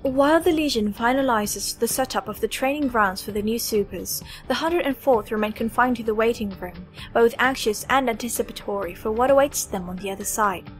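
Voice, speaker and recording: A woman's voice: a little high, fairly quick, hardly any pauses, faint music behind. A woman reading scripted dramatic narration as the narrator of an audio story.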